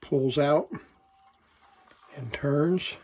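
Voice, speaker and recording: A man who collects vintage gear gives a casual, unscripted hands-on demonstration, thinking aloud in halting short fragments. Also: on the high side, darkish tone, breathy edge. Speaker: vintage electronics collector presenting his item.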